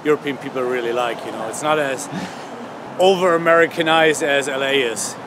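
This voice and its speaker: A man's voice: mid-range voice, steady tone, moderate pace. A man with a German accent, enthusiastic in a street interview on a city sidewalk. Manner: enthusiastic, switches between German and English. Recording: noisy street outdoors.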